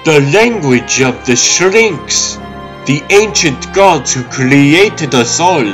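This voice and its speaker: A man voicing a pompous, serene high priest in a comic dub, reverent in manner, with music behind. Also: animated, takes it slowly. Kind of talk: comic-book dub acting. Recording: music under the voice.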